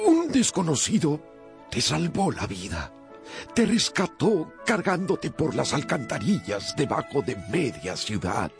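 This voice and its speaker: A sweet old grandpa in a radio play, slow theatrical tender talk, music behind. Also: male voice, fairly high pitch, exaggerated voice. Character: kindly, affectionate old grandfather giving his blessing. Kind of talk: Spanish-language radio drama acting.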